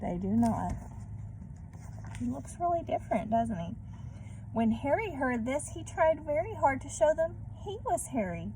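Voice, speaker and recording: A woman reading a storybook aloud to children in a gentle manner, pausing to put questions to her young listeners. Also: high-pitched, big pitch swings, low-quality recording. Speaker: read-aloud host for children. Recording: background noise.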